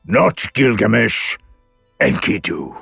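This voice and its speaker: A man's voice: on the low side, very animated, slow pace, vocal fry, a bit bright, slightly rough, no echo, studio quality, faint music behind, exaggerated voice. A man voicing a stern, implacable god who passes judgment in a grave, commanding manner in a myth story. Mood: cold, final.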